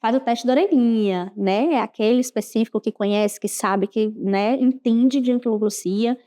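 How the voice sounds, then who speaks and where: A woman's voice: fairly high pitch, normal speed, warm tone. A Brazilian woman co-hosting a podcast, chatting informally and addressing listeners directly. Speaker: podcast co-host. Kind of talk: casual chat.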